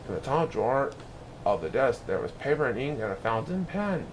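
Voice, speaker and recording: A man narrating a children's storybook in an expressive, deliberate storytelling manner with dramatic emphasis. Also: mid-range voice. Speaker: storybook reader. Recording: clear background noise.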